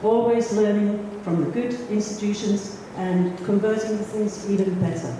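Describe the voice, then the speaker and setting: A woman's voice: mid-range voice, fairly even intonation, normal speed, mellow, slight background noise. A middle-aged woman from Finland introducing herself on a conference panel, chatty and self-deprecating with many fillers. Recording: echoey hall, distant mic.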